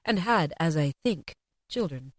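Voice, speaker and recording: A woman voicing a solemn spirit guide, speaking in a grave, measured, restrained way. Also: sits low, animated, dry recording, clean studio sound, pitched below the performer's own voice.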